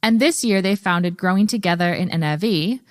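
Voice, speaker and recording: A woman hosting a podcast, reading a measured introduction and asking her guests questions. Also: lowish voice, dry recording, clean studio sound. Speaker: podcast host.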